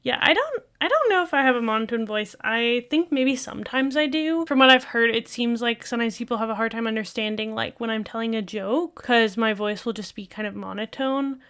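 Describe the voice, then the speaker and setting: A woman with a bright, fairly high and very clean voice talks casually over a video she is reacting to. She rambles comfortably, leaning on filler words as she shares her thoughts. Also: lively intonation, a little fast, few pauses, clear, resonant tone. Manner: chatty and rambling, many fillers. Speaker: video creator.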